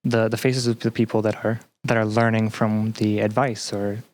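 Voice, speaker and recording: A young man as a podcast guest, chatting reflectively in a halting, earnest way. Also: fairly low pitch, level tone, darkish tone. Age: young adult. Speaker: podcast guest, student teaching assistant. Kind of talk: casual reflective chat.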